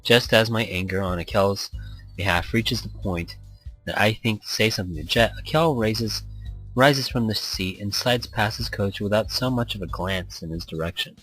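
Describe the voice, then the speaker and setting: A man with a fairly low voice reads a visual novel game aloud as a let's play host. His storytelling is playful and a little theatrical, and music runs underneath. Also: normal speed, a bit bright, poor audio. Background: background music.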